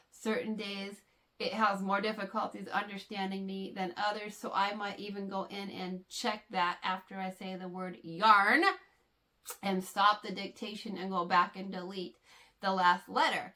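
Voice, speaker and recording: A woman's voice: medium pitch, pushed and bright. A woman knitting vlogger talking casually to her viewers, explaining things patiently with frequent fillers and a slightly congested sound. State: somewhat congested from allergies. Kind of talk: casual talk to camera.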